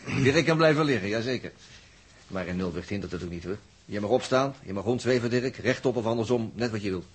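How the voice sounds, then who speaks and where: An actor playing a gruff, jocular spaceship crewman who banters and gives orders in a 1960s radio drama. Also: lowish voice, fairly quick. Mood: bantering. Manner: bantering, commanding. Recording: hissy 1960s radio recording.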